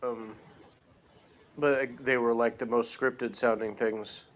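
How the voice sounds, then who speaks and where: A man's voice: mid-range voice, fairly even intonation, slow pace, a little hiss or hum. Muffled man, rambling and wry. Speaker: podcast co-host reviewing TV episodes. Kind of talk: casual chat.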